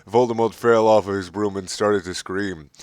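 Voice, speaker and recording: A man's voice: on the low side, steady tone, normal speed, a little mellow, no echo, clean studio sound. A man giving a mocking, exasperated dramatic reading of an amateur fiction story. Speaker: reader of a fan fiction story.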